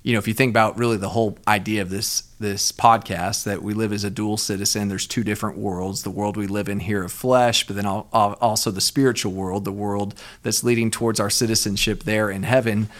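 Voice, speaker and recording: A man's voice: lowish voice, little pitch movement, fairly quick, few pauses. Earnest man explaining thoughtfully. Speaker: podcast co-host. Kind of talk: casual chat and spoken intro.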